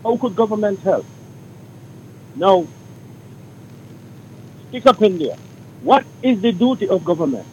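Slow deliberate caller on a muffled line. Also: male voice, expressive. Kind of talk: call-in radio comment. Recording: muffled phone line with rumble.

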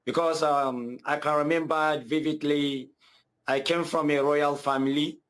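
A young man from Nigeria with a Nigerian accent, earnestly giving his testimony as an interview guest, repeating phrases and pausing often. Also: fairly high pitch, steady tone, a bit bright.

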